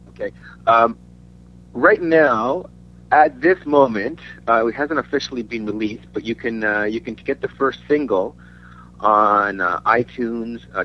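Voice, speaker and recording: A man from Denmark giving a casual interview as a musician, talking in a halting, rambling way. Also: lowish voice. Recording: dull lo-fi audio with faint hum.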